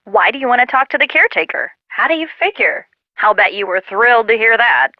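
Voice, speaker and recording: An actress playing a sassy, excitable young woman, a sarcastic friend in a video game, heard through a telephone filter. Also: on the high side, a little fast, dry recording. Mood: wry, curious. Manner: sarcastic, exclaiming. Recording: muffled lo-fi telephone-style sound.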